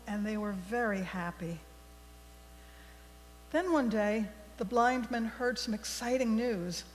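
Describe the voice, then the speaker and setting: A woman reading a story aloud to a congregation in a deliberate, expressive storybook manner. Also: on the high side. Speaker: worship leader telling a story for all ages. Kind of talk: read-aloud storytelling in a church service. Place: large indoor congregation space. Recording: echoey hall with mains hum.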